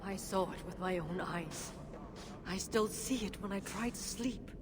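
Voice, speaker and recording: A woman's voice: medium pitch, a little slow, close to an everyday voice. A woman playing a weary, bitter dwarf leader in a video game, guarded, sardonic and solemn. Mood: grim. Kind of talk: dramatic video game voice acting. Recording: long reverberant hall, noisy ambience.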